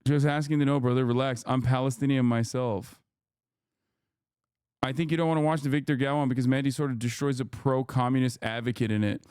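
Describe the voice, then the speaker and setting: A man's voice: mid-range voice, steady tone, a little fast, darkish tone, smooth, no echo, studio quality. A man streaming live, ranting to his chat in a heated, profane, rambling way.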